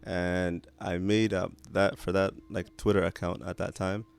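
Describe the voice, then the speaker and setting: Low, gravelly-voiced man from Canada. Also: little pitch movement, measured pace. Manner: hesitant, many restarts, sprinkles Arabic religious phrases. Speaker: podcast guest. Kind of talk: casual conversational interview.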